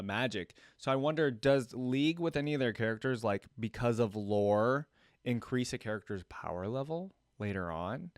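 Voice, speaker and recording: A man's voice: mid-range voice, studio quality. A man giving casual reaction commentary as a video host, musing aloud and dropping in short interjections that echo phrases.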